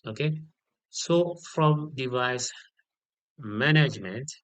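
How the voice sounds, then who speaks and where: Male tutorial instructor, unscripted and slow, repeats key points, very dry close sound. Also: mid-range voice, a bit bright, no room sound. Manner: instructional, repeats key details and checks with "okay". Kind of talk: step-by-step software tutorial, unscripted.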